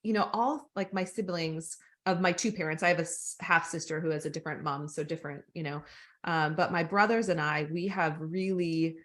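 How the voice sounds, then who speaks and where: A woman's voice: low-pitched, fairly even intonation, fairly quick. Chatty American woman podcast host. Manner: rambling, warm-hearted, many fillers and restarts. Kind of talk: casual interview chat.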